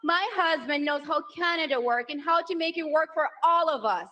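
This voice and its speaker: A woman's voice: high-pitched, moderate pace, bright, forceful tone, soft background music. A woman, speaking emotionally into a handheld mic at a large outdoor rally and building to rousing applause lines. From Venezuela. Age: 30s. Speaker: political spouse introducing candidate. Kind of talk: rally speech.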